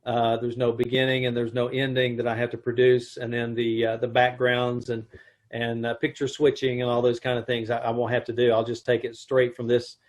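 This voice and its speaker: A man in casual chat over a video call, talking in halting bursts with many restarts. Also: mid-range voice, completely flat delivery. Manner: halting, full of restarts and fillers. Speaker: video producer of the troupe.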